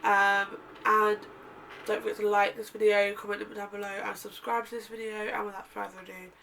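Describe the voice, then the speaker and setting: A woman's voice: medium pitch, level tone, moderate pace, creaky, pushed and bright, slight background noise. A woman in her twenties, nasal and bunged up, chatting and rambling in a casual sit-down vlog. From United Kingdom. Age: 20s. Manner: rambling, chatty, self-correcting. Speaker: vlogger. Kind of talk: casual sit-down chat to camera. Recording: distant mic with faint room ambience.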